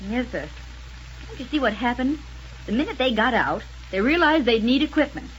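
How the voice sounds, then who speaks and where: A quick-thinking space heroine in a 1930s radio serial. She sounds sensible, with a fairly high voice, over light recording noise. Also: big pitch swings, dull audio. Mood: reasoning.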